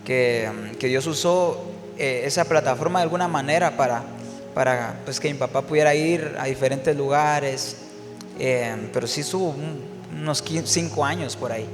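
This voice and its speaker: A man from Guatemala, a worship singer telling his story in a relaxed interview, reflective and full of fillers and restarts. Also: on the high side, normal speed, big-room sound, over music.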